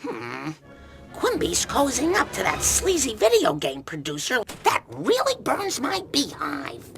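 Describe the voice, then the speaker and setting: Middle-aged cartoon mom, American, raspy, earnest, wildly animated intonation, prominent background music. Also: female voice, on the high side, measured pace, full character voice. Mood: indignant. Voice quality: raspy, gravelly. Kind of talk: animated comedy game acting. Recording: loud music behind the voice.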